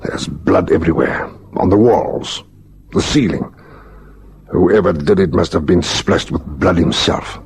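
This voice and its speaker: An actor with a South African accent playing a brooding, probing police lieutenant in a radio crime drama, ruminative yet pressing. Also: male voice, low voice, a little slow, creaky, a little mellow, husky, exaggerated voice. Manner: ruminative, hesitant, then pressing.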